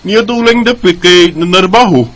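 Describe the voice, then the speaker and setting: A man's voice: high-pitched, normal speed, a bit bright. A man acting a protective, joking older brother with a Bisaya accent in a radio drama, casual and a little bossy. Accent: Filipino, Bisaya.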